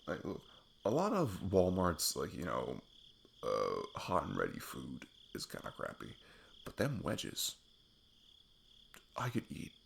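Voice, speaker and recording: A man playing an affectionate, goofy best friend on a date in an intimate ASMR roleplay, rambling playfully in a husky, gravelly voice. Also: low-pitched, a little hiss or hum, heavily acted. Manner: rambling, playful, self-deprecating chuckles. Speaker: audio roleplay performer. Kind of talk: intimate ASMR roleplay, improvised casual chat.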